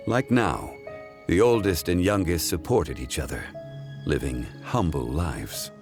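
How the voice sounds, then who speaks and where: Wise old-world gentleman in a game cutscene, low voice, super composed n eloquent, lilting intonation, music behind. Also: full character voice. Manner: formal, measured, eloquent, contemplative. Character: ancient, composed scholarly gentleman, calm, wise and reflective. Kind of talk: scripted video game cutscene dialogue.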